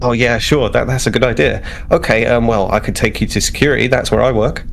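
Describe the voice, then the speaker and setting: A man's voice: mid-range voice, a little fast, gravelly, a little hiss or hum, close to an everyday voice. A man playing an eager, flustered young starship security officer in a tabletop game, hesitant and rambling as he talks.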